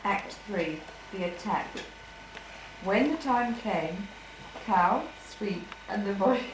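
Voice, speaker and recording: A female voice narrates a homemade puppet play like a storybook, at a middling pitch. The old home video is echoey, with clear noise behind the words. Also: expressive, slow pace.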